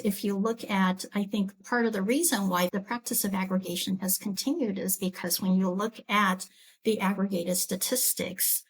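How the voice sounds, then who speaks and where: A woman's voice: medium pitch, low-quality recording. A woman epidemiology professor who explains her research thoughtfully in a podcast interview.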